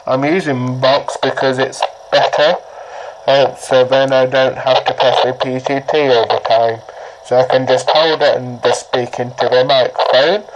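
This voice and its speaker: The unhurried, stop-and-start voice of someone of unclear sex demonstrating a gadget in a homemade tutorial video, with a little noise behind. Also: medium pitch. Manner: halting, repetitive, restarts sentences often. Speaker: presenter of a gadget how-to. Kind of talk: informal unscripted demonstration. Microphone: camera or tablet propped nearby. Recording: noisy amateur recording with clicks.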